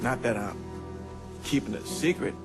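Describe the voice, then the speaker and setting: A man's voice: mid-range voice, moderate pace, vocal fry, close to an everyday voice. Male actor as elderly American soldier recalling war, modest, plain-spoken, reflective, game cutscene, prominent music. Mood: reluctant, humble. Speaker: war veteran.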